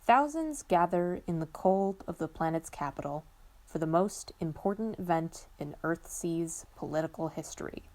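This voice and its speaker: A woman's voice: fairly low pitch, a little mellow. A woman reading scripted fiction as a narrator, in a dramatic storytelling style.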